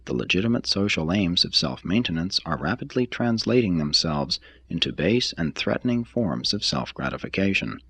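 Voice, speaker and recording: Male narrator, very low pitch, husky edge, lively intonation, scripted reading, measured formal phrasing. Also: no echo. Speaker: reader of a text.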